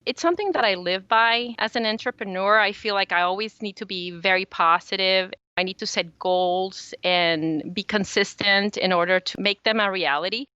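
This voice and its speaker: A woman from El Salvador whose first language is Spanish speaks as an interview guest. Her voice is bright and clear, her delivery earnest and upbeat. The audio is dry and a little lo-fi. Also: mid-range voice, normal speed, few pauses, dry recording. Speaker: podcast guest, small business owner. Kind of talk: conversational interview answers. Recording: slightly dull, lo-fi audio.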